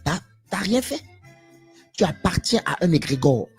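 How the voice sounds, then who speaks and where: A man's voice: high voice, big pitch swings, a little slow, gravelly, a little mellow, breathy edge, soft background music. A man giving an impassioned live monologue to viewers, emphatic and preachy, repeating phrases and asking rhetorical questions. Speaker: live stream speaker.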